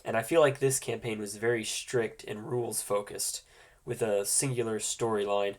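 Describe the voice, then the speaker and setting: A man acting as game master with a congested, nasal voice from a cold, explaining things informally and haltingly as he hosts a tabletop roleplaying game. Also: fairly low pitch, flat delivery.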